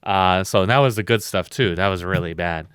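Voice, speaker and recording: A tired man with a loud voice, co-hosting a podcast in a blunt, rambling, swearing chat. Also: deep voice, moderate pace, clear, resonant tone, dry recording, clean studio sound. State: very tired from lack of sleep. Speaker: podcast co-host.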